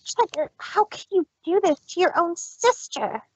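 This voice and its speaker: A woman's voice: extremely high pitch, sing-song, a little slow, dry recording, muffled recording, exaggerated voice. A woman voicing a hurt younger-sister mare in a dramatic story reading, earnest and imploring. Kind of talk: dramatic fan fiction reading.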